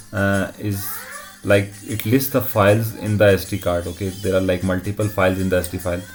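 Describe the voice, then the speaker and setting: A man presenting an informal technical demo in a halting way, often checking in with short tag questions. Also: lowish voice, a little mellow. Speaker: tutorial presenter.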